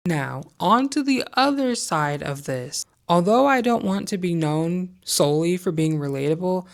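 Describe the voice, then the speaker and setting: A woman's voice: on the low side, expressive, a little slow. A young woman talking candidly to camera in a conversational vlog, sharing opinions with frequent hedges. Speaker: vlogger. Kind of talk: casual talking-head vlog.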